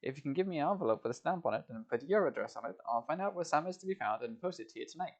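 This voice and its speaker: Folksy Cockney working guy, friendly and talky, pitched a bit up, a man doing the voice. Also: expressive, very fast. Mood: chatty. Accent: Cockney put on. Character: working-class London male workman, affable and chatty.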